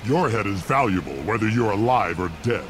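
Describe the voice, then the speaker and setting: Man as a very deep, scheming alien clan boss. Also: lively intonation, fairly quick, noisy background, lightly acted. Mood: cold, scheming. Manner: cold, calculating, condescending. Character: scheming, disdainful male alien krogan clan leader. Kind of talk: dramatic video game acting.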